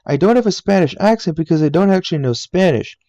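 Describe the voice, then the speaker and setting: A man's voice: high-pitched, lively intonation, fast, warm tone, no room sound, highs cut off. A man in his twenties from the United States, earnestly narrating a scripted voice-over about himself and his channel from a game room at home. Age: 20s. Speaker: YouTuber. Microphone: laptop mic.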